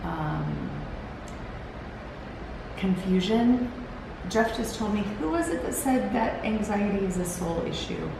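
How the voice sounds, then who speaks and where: A woman hosting a solo motivational talk, earnest and encouraging. She sounds gravelly and tired, and rambles with restarts as she talks to a camera set on a timer. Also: medium pitch, lively intonation, slow pace. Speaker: host. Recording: very echoey room with background hiss.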